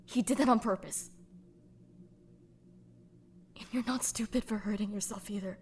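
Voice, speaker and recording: A woman voicing a blunt but caring young woman in a fan audio drama, speaking frankly and gently, with the odd swear. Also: medium pitch, steady tone, normal speed, a little hiss or hum, lightly acted. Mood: consoling.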